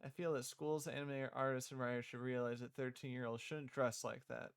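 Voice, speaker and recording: A man's voice: medium pitch, level tone, fairly quick, gravelly, clean tone, lightly acted. A man voicing a chatty, opinionated schoolgirl narrator in a visual novel, breezy and teasing as she addresses the listener. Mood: indignant, judging. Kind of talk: visual novel character read-aloud.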